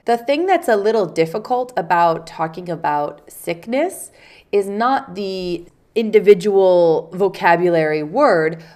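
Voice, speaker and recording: Woman teacher with a cold, nasal and stuffed up, unhurried, lowish voice, patient explainer. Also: darkish tone. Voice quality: congested, nasal. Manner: patient, explains with spoken examples. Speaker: English teacher. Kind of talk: casual vocabulary lesson.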